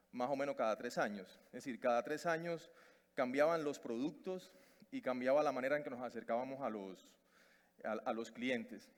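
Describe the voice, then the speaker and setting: A man from Cuba with a Cuban Spanish accent, giving a conference talk, hesitant with many fillers and restarts. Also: mid-range voice. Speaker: conference speaker. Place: conference stage in a large hall. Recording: long reverb of a large hall.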